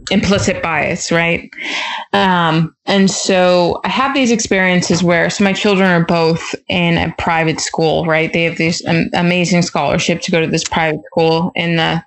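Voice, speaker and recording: A woman's voice: lowish voice, poor audio. A woman in her twenties, a podcast guest, talking in a hesitant way with many fillers as she searches for words. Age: 20s. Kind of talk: casual conversation.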